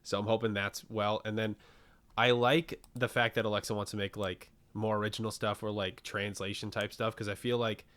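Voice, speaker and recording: A man's voice: fairly low pitch, flat delivery, brisk, fairly bright tone. A man hosting a casual group podcast, chatting informally and swearing freely. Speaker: podcast host.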